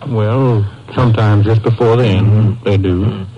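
An actor playing a sober hospital doctor in a 1950s radio drama, speaking in a grave, clinical manner. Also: male voice, lowish voice, steady tone, measured pace, lightly acted. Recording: dull, noisy old-time radio recording.

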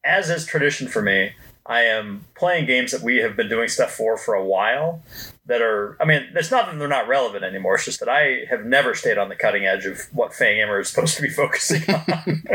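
A man's voice: medium pitch, lively intonation, fairly quick, pushed and bright, poor audio. A man chatting casually as a podcast guest, joking with mock boasts and self-deprecation. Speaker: company CEO, podcast guest. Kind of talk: casual chat.

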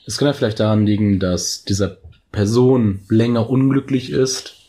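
Low-voiced man explaining casually. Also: normal speed, dark tone. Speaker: co-host of a discussion about a TV series. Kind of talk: casual chat, explanatory discussion.

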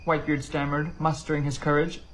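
A man's voice: on the high side, flat delivery, a little fast, a little hiss or hum, rough recording. A man narrating a scripted whimsical story as a reader.

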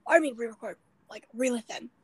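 Young woman podcast host, late teens, raspy and breathy, dry close sound, chatty and self-deprecating. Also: a little high, brisk, no room sound. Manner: rambling, self-deprecating, many fillers. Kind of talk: casual chat.

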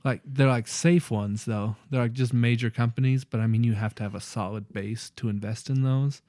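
A man's voice: mid-range voice, fairly even intonation, normal speed, darkish tone, dry recording. A young man co-hosting a podcast, chatting loosely about his new year goals, swearing freely and laughing often. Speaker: podcast co-host. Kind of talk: casual chat.

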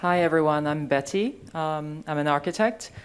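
Woman architect, thirties, from Hong Kong, deep smooth voice, cheerful. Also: normal speed. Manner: cheerful, laughs, many uhs. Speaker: architect, panelist.

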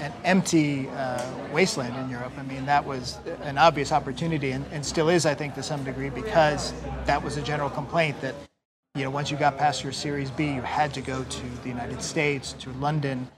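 A man interviewing a guest onstage, asking long, winding questions in a conversational way. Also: fairly high pitch. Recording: crowd murmur in the background.

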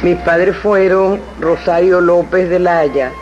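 A woman's voice: fairly low pitch, steady tone, slow, a little mellow, highs cut off, soft background music. A woman recounting her own life. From Miranda state, Venezuela. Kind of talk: autobiographical recollection.

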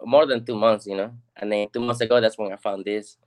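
A man's voice: on the low side, fairly even intonation, brisk. A man from Peru as a guest on a video-call interview, earnest and modest, with many casual fillers.

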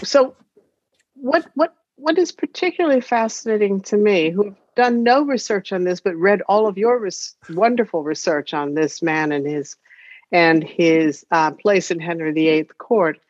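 A woman chairing a podcast discussion, speaking in a reflective, deliberate way. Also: mid-range voice, darkish tone, dry recording. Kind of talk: measured panel discussion. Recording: dull, dry lo-fi connection.

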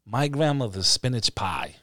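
A man's voice: medium pitch, lively intonation, moderate pace, a bit bright, no room sound, clean studio sound. A man with an Albanian-tinged American accent hosting a casual, chatty interview, joking and using street slang. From born in Texas, United States, to an Albanian family. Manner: jokey, uses street slang. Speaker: podcast host.

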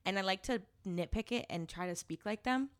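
A woman's voice: medium pitch, fairly quick. A young woman co-hosting a podcast, chatting casually with crude jokes and a lot of laughter. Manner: animated, joking, frequent laughter, crude humor. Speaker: podcast co-host. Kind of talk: casual chat.

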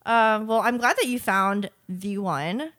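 A woman hosting a podcast, interviewing in a casual, informal and somewhat halting way. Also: medium pitch, a bit bright. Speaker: podcast host.

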